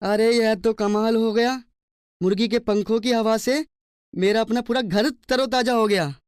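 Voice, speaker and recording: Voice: medium pitch, fairly even intonation, unhurried, clean tone, dry recording, clean studio sound, natural voice. A performer voicing a poor, compassionate and stubborn hardworking husband in a cartoon. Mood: earnest, amazed. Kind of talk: cartoon dialogue.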